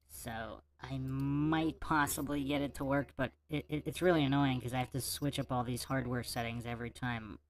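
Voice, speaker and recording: A man hosting a casual livestream Q&A, talking through audio troubles and restarting words. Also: medium pitch. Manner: unhurried, restarting words while fixing audio settings. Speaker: livestream host.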